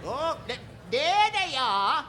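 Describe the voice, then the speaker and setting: A woman's voice: high voice, very animated, takes it slowly, bright, forceful tone, some chatter behind, slight background noise, exaggerated voice. A woman playing a crusty, wry male town doctor in a western radio drama, muttering in a folksy way. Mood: wary.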